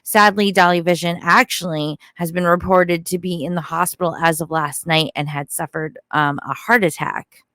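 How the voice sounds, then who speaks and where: A woman's voice: fairly low pitch, fairly even intonation, moderate pace, a bit bright, no room sound, studio quality. A woman giving casual, opinionated true crime commentary in a blunt, informal way.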